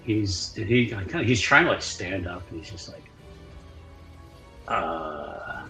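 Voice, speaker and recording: Casting: man game player, low voice with swinging pitch, casual narration, music clearly behind. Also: lively intonation, normal speed, sharp tone, rough recording. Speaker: tabletop roleplay player.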